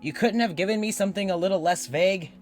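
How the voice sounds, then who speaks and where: A man playing a weary, frustrated doctor with memory loss in an audio drama, recording a spoken journal with halting, sighing delivery. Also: sits high, soft background music, lightly acted. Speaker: voice actor playing podcast's lead character.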